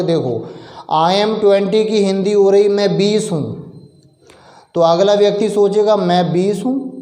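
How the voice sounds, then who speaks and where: A man from India teaching English in Hindi, explaining step by step, asking and answering his own questions, and drawing out example sentences slowly. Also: sits high, darkish tone, echoey room. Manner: slow, drawn-out example sentences.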